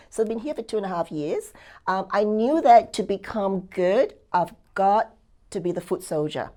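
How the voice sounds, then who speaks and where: This is a woman from Singapore who later lived in Australia, with a slightly low voice. She talks like a coach sharing her own success in an interview. Her delivery is upbeat and emphatic, and she often punctuates points with quick rhetorical questions. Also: warm tone. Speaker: real estate affiliate and coach giving a testimonial. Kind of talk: conversational testimonial interview.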